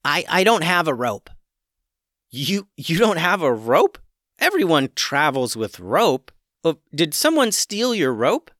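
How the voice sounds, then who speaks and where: A man's voice: a little high, very animated, a little slow, a bit bright, no echo, pitched above the performer's own voice. A man voicing an awkward talking male chicken who makes dry jokes, in a storybook reading.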